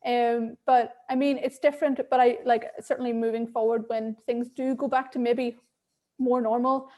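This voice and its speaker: A woman with a thick Irish accent talks candidly as a guest over a video call. Her voice sits a little high, and she laughs easily and trips over repeated words as she gathers her thoughts. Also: level tone, moderate pace, warm tone. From Ireland. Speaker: interview guest. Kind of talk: casual video-call conversation.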